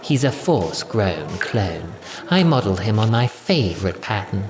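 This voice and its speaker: A man's voice: lowish voice, sing-song, moderate pace, music in the background. A man voicing a posh, condescending female villain of stretched skin, with an overly sweet, witchy tone, in an audio story. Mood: sighing, haughty. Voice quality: excessively sweet, witchy tones.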